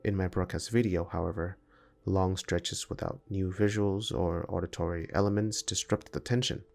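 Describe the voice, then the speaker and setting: A low male voice with plenty of vocal fry narrates a scripted video essay. He reads in a formal, academic way, laying out analysis point by point. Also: steady tone, normal speed, a little mellow.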